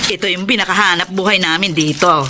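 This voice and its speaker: A woman's voice: lowish voice, a little fast, soft background music. An actress playing a helpful, chatty neighbourhood vendor woman in a radio drama. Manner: chatty, expressive.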